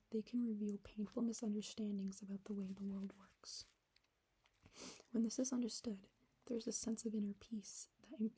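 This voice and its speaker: Whispery monotone young woman. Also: mid-range voice, low-quality recording. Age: young adult. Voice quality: breathy, whispery. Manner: monotone, subdued. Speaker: vlogger. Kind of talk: reading own reflective letter aloud to viewers.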